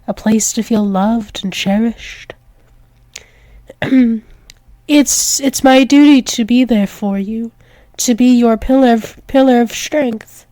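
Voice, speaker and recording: A woman's voice: fairly high pitch, slow pace, warm tone, no room sound. A woman playing a tender, possessive alpha werewolf demon lover in an intimate ASMR roleplay, soothing and repetitive with small hesitant stumbles. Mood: flustered, devoted. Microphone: close microphone, dry.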